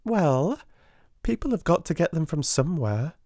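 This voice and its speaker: A man's voice: a little high, big pitch swings, normal speed, darkish tone, no room sound, studio quality, voice pushed up. A man voicing a giggly, gossipy, teasing schoolgirl in a storybook. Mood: reasoning.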